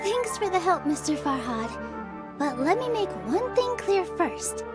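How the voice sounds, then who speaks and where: A woman voicing a sweet, polite young dancer in a video game, with a gentle, courteous manner. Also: very high voice, sing-song, measured pace, exaggerated voice. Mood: playfully firm. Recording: loud music behind the voice. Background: music.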